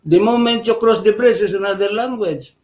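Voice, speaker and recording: Halting man explaining on radio. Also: high-pitched, a little mellow. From Western Visayas, Philippines. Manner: halting, with many pauses and fillers. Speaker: radio guest.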